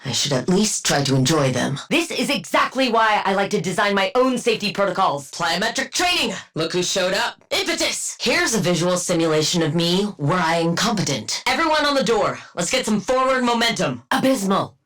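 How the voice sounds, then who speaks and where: A woman's voice: medium pitch, sing-song, fairly quick, few pauses, fairly bright tone. An actress voicing a brainy, exacting woman scientist supervillain for a video game, precise and nerdy, with a dry sardonic edge. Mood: smug, dry. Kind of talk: video game combat barks and banter.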